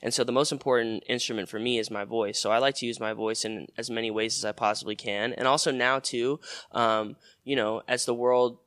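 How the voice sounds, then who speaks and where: A man's voice: medium pitch, fairly even intonation, a little fast, fairly bright tone, no room sound. A man in his twenties, a musician being interviewed, talking in a rambling casual way with many fillers and restarts. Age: 20s. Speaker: musician guest.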